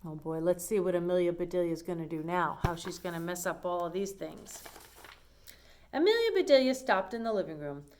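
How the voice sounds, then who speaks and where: A woman's voice: on the low side, lively intonation, fairly quick, a little mellow, slight background noise. A woman reading a storybook aloud to children, explaining it in simple asides as she goes. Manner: simple explanatory phrasing, addressing young listeners.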